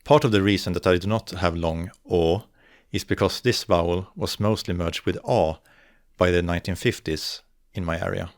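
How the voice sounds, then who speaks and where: A young man from northern Sweden, a native Swedish speaker with a Swedish accent, giving a careful, measured scripted narration for an educational video. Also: low voice, no echo, studio quality. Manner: measured, careful, slows to articulate example words. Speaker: video essayist on dialects.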